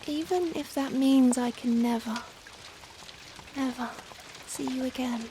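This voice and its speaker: A young Victorian vampire, played by a woman in an intimate ASMR roleplay. The voice is high and soft, moving very slowly through formal, hesitant phrases. The character is tender, anguished and full of self-reproach, with rain pattering behind. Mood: regretful, pleading. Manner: hesitant, formal, emotional, pleading. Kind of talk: softly spoken ASMR roleplay acting. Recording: steady rain noise behind. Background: rain falling on a surface.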